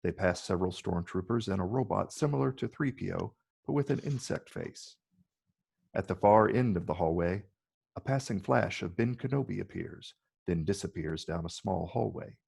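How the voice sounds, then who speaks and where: A man with a gravelly, husky voice reading scripted screenplay narration. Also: deep voice, moderate pace, a little mellow. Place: virtual call from separate location.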